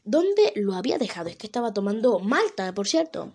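A woman's voice: mid-range voice, sing-song, fairly quick, a little mellow, husky. A young woman from Venezuela with a Venezuelan accent, chatting casually on her own and rambling with many fillers and self-corrections. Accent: Venezuelan Spanish. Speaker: vlogger. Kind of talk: casual solo talk to camera.

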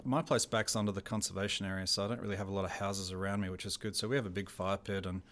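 A man's voice: deep voice, fairly even intonation, racing, fairly bright tone. A middle-aged man with an Australian accent, a theater technical director chatting casually as a radio guest, laughing often and restarting his phrases.

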